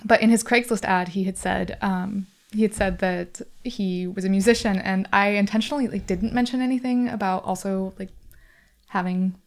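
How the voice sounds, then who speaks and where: A woman's voice: mid-range voice, steady tone, normal speed, gravelly. A young American woman, a band member, chatting in an interview, talkative, laughing often and using lots of fillers. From United States. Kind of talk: casual interview chat.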